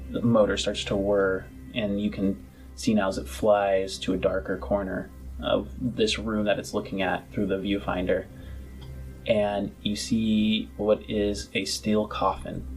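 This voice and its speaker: A man narrating a tabletop role-playing game as game master, deliberately pausing between short phrases. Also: on the low side, a little mellow.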